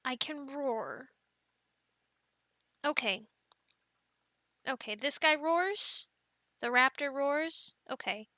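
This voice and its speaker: A woman playing an affectionate, playful young mother in an ASMR family roleplay, talking gently and haltingly to her children. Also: high-pitched, expressive, dry recording, studio quality, heavily acted. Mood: playful, bemused. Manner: gentle, halting, soft-spoken with playful bursts.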